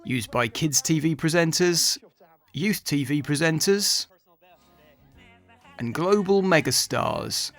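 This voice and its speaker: A man's voice: fairly high pitch, animated, slow pace, pauses often, a bit bright, faint music behind. A man reading scripted voice-over narration for a restoration video.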